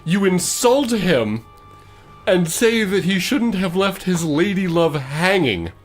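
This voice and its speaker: A man running a tabletop role-playing game as game master, improvising descriptive narration in a halting way. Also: high voice, very animated, faint music behind.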